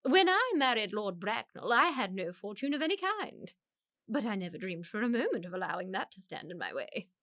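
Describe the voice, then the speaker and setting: An actress playing a haughty upper-class English society matriarch in a radio comedy, making pompous, emphatic, drawn-out pronouncements. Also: female voice, mid-range voice, very animated, pushed and bright, no echo, studio quality. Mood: condescending. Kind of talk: radio play comedy of manners.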